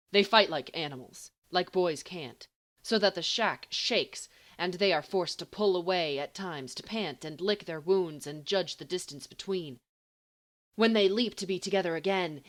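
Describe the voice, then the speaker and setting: A woman narrates fiction in a voice pitched a little below average. Her reading is expressive and scripted, with deliberate phrasing and emphatic stresses that give the story drama. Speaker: audiobook reader of fan fiction.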